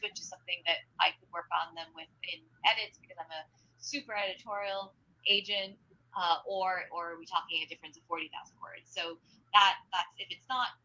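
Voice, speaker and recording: A woman's voice: on the low side, sharp tone, lo-fi sound. A woman literary agent answering questions in a live online Q&A, chatty, with many ums and restarts. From grew up speaking Spanish and Norwegian at home.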